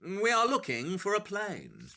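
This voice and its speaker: An actor playing a brilliant, sardonic English detective in an audio drama, lecturing in a crisp, superior manner. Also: male voice, sits high, huge pitch swings, a little slow, sharp tone, a little hiss or hum, full character voice. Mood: brisk, sardonic.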